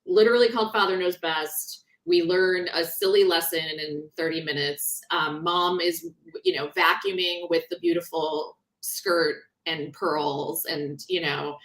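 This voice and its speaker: A woman author talking in a conversational interview, explaining things in an animated, informal way. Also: fairly low pitch, a little slow. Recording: roomy, echoing sound.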